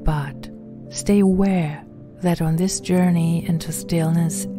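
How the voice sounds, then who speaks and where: A woman guiding a meditation in a gentle, soothing, unhurried manner, softly spoken and at times breathy. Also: deep voice. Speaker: meditation guide and podcast host. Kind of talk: guided meditation narration. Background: background music.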